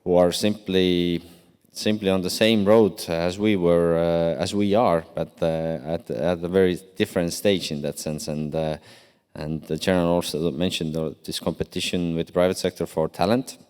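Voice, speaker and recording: A man from Estonia answering on a conference panel, speaking haltingly with many fillers and restarts. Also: deep voice, fairly even intonation, a little mellow, clean tone. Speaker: deputy cyber commander on a panel. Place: large conference hall. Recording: long hall reverb.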